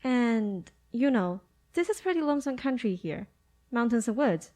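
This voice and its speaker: A woman's voice: a little high, sing-song, moderate pace, darkish tone. A woman playing a folksy, plain-spoken rural gas station attendant in a radio drama, chatting colloquially. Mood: matter-of-fact.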